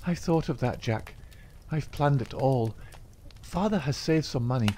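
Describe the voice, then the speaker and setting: A man's voice: medium pitch, expressive, unhurried, mellow, a little hiss or hum, voice pushed up, close to an everyday voice. A man reading aloud, voicing a young woman who anxiously pleads with her outlaw lover. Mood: pleading. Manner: imploring, breathless, emotional.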